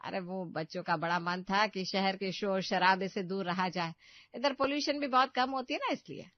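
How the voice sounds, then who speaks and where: A woman's voice: medium pitch, normal speed, no room sound, natural voice. A woman voicing a mild, conciliatory elderly mother-in-law in a Hindi cartoon family story. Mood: placating. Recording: dull, muffled studio voice track.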